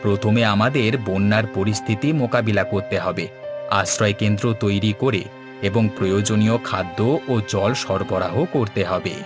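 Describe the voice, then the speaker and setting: A man's voice: on the low side, lightly acted. A man playing an earnest village leader in a cartoon, speaking in formal, rallying speeches. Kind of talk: scripted cartoon dialogue.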